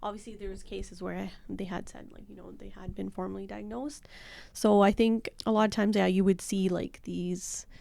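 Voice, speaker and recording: Gravelly dark-voiced woman guest. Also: medium pitch, flat delivery, moderate pace, hardly any pauses, no echo. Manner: loose, uses "like" and "you know". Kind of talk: casual podcast conversation.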